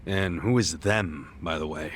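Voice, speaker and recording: An actor playing an earnest, stubborn, cheeky yakuza hero in an English game dub, casual and plain-spoken. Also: male voice, low voice, measured pace, a little hiss or hum. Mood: wary. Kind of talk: English video game voice acting.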